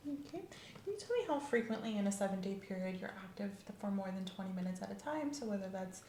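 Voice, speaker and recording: A woman's voice: mid-range voice, lively intonation, fast talker, mellow, a little hiss or hum. Woman in roomy ASMR medical roleplay. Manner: methodical, questioning, professional bedside delivery. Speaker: ASMR performer playing a medical examiner.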